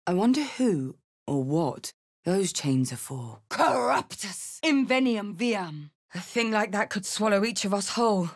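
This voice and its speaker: A fierce but caring female tiefling fighter from a fantasy video game. Her delivery is unhurried yet very expressive, with a pitch that moves freely. She is blunt and bold, with a theatrical punch to her words. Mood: commanding incantation. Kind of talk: video game character lines and spell chants.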